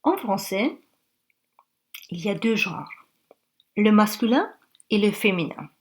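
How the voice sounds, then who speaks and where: Woman in her 40s doing a beginner lesson, takes it real slow, spells things out. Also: mid-range voice, sing-song. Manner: slow, careful classroom delivery. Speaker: French teacher.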